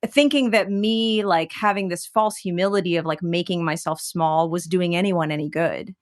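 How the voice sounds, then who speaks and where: A woman from the United States, a singer-songwriter guest in a conversational interview, talking in an animated way as she searches for her words. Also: lowish voice, normal speed. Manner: animated, searching for words, many fillers and restarts.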